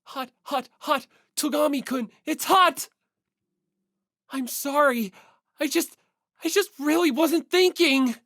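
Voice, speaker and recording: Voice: sits high, takes it slowly, pauses often, fairly bright tone, dry recording, studio quality, voice pushed up, heavily acted. A narrator voicing a timid, optimistic, apologetic teenage schoolboy in a manga audiobook, hesitant and eager. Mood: flustered.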